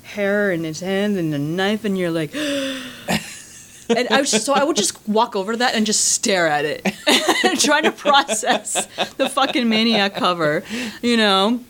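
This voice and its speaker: A woman from Massachusetts, a horror filmmaker and writer, chatting as an interview guest and telling animated, profane stories with frequent laughs. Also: mid-range voice, measured pace. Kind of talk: casual interview chat.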